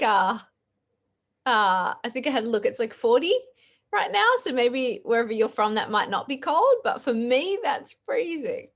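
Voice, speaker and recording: A woman with an Australian accent hosting a live podcast chat over a video call, her voice a bit croaky, chatty and quick to laugh. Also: a little high. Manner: chatty, laughs often, fillers. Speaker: podcast host. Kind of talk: casual live-stream chat.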